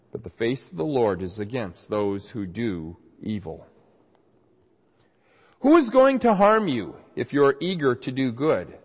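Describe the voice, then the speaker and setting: A male pastor getting over a cold delivers an earnest sermon, his voice slightly nasal and raspy. He speaks slowly, with a pitch that swings animatedly on his points. The recording is dull and muffled, with long reverb from the hall around him. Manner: earnest, emphatic preaching. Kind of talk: sermon with scripture reading.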